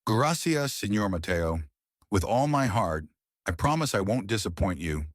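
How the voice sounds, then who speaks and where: A man's voice: fairly low pitch, big pitch swings, normal speed, no room sound, clean studio sound. A man voicing a humble, earnest and grateful working woman in a narrated story. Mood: grateful, polite. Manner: earnest, polite.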